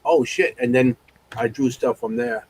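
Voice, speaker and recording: A man's voice: medium pitch, unhurried, a little mellow, breathy edge. A man chatting on a podcast panel, jumping in with joking interjections. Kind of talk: casual chat.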